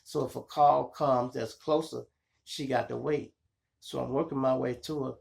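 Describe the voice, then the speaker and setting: A man with a Chicago accent and a gravelly voice, telling street stories casually to his viewers in slangy, profane talk. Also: medium pitch, moderate pace. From Chicago, United States. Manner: animated retelling, slangy, profane, acts out dialogue and sound effects. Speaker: storytelling podcast host.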